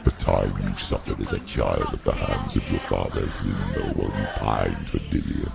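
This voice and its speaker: A man voicing a menacing, taunting dark demon in a video game, with a gravelly, guttural voice. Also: rumbling low, flat delivery, moderate pace, over loud music, exaggerated voice. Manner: ominous, taunting. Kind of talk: dramatic video game acting.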